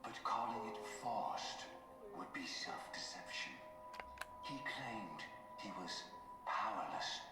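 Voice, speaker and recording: An actor playing a grave, world-weary schemer in a video game, speaking formally in measured, often hushed, breathy phrases. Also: male voice, on the low side. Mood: grave, reflective. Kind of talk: dramatic video game voice acting. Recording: echoing hall, distant, loud game music.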